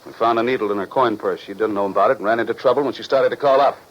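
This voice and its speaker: An actor playing a gruff, sarcastic, hostile police detective in an old-time radio crime drama. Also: male voice, fairly low pitch, rapid-fire, lightly acted. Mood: curt, suspicious. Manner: curt, taunting. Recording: noisy muffled old radio recording.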